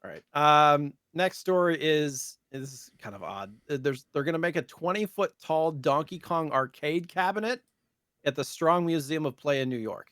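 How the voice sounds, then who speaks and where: A man's voice: fairly high pitch, expressive, normal speed, a bit bright, no room sound, studio quality. An American man in his thirties chatting casually and in slang as a podcast host. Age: 30s. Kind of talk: casual chat about retro gaming.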